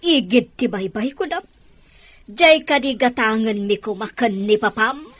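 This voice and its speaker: A woman's voice: fairly high pitch, unhurried, no room sound. A woman with a Filipino Ilocano accent playing a proud, indignant middle-aged mother in an amateur audio drama. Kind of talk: amateur radio drama acting.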